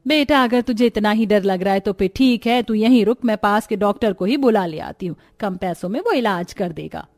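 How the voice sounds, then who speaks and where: A woman's voice: medium pitch, moderate pace, darkish tone, no room sound, close to an everyday voice. A woman voicing a stingy, scolding middle-aged mother-in-law in a cartoon story, with a bossy, nagging manner. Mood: dismissive. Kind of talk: Hindi cartoon story voice acting.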